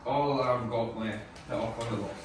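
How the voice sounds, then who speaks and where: A man playing a weary, kindly friend in a screenplay table read, gentle and halting. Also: mid-range voice, natural voice. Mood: weary concern. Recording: distant, echoey room with faint noise.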